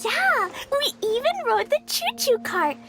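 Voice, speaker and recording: An innocent little girl mage from a video game, bursting with eager energy. The voice sits extremely high and bounces up and down in simple, childlike phrases. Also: very animated, measured pace, music in the background, heavily acted. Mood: cheerful, eager. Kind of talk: video game cutscene acting.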